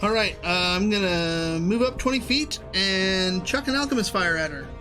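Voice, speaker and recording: A man's voice: high-pitched, expressive, moderate pace, pushed and bright, soft background music. A man talking casually as a player in a tabletop role-playing game.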